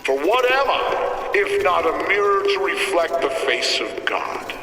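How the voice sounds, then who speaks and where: An actor playing a zealous elderly prophet and villainous ruler in a video game, preaching in solemn, grandiose, archaic oratory. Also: male voice, a little high, big pitch swings, measured pace, reverberant, long reverb tail, recorded from a distance, background noise. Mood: grand preaching. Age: older. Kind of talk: theatrical sermon-like oratory.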